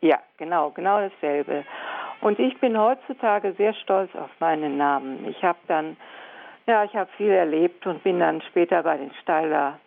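An older woman, a nun, calling in to a radio show and speaking in a halting way as she searches for words. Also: lowish voice, animated, vocal fry. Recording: tinny phone line.

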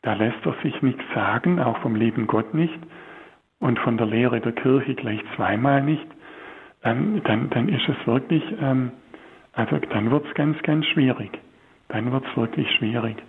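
A priest talks slowly and deliberately, hesitating often as he reaches for the right word. His voice has a husky grain. It comes through thin and tinny, like a narrow-band radio broadcast. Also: mid-range voice. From Swabia, Germany. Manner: slow, searching, many hesitations. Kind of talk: spiritual talk on a religious broadcast.

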